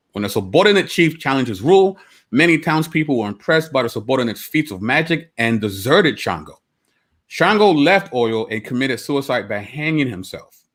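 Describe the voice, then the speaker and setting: Brooklyn-raised man co-hosting a podcast. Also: mid-range voice. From United States (Brooklyn). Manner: conversational, reads sources aloud, self-correcting. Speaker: podcast co-host. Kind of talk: casual discussion, reading passages aloud.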